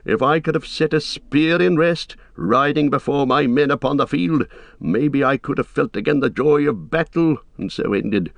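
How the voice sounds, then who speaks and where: A man's voice: on the high side, moderate pace, gravelly, dry recording, pitched above the performer's own voice. A man reading the lines of an aging king, weary but defiant, in a grave, stately way.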